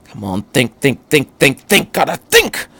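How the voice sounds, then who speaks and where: A man's voice: mid-range voice, huge pitch swings, measured pace, sharp tone, husky, dry recording, exaggerated voice. A man voicing an intense, eccentric alien scientist hero in a fan story, with a grim, urgent and emphatic manner. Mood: frantic.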